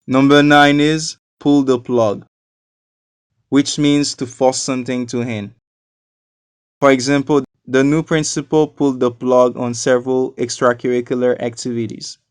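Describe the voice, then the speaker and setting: A man from Haiti, a non-native English speaker, giving a scripted English lesson with deliberate, explanatory enunciation. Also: medium pitch, steady tone, clean tone, dry recording. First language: not a native English speaker. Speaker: English teacher. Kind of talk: scripted educational narration.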